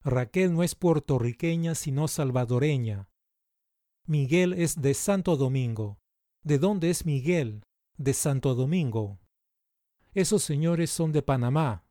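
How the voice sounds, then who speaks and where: A man reading out simple practice sentences in a slow, deliberate, evenly paced way, like a language lesson recording. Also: fairly high pitch, lively intonation, warm tone, dry recording, clean studio sound. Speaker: language teacher. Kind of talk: slow scripted language drill.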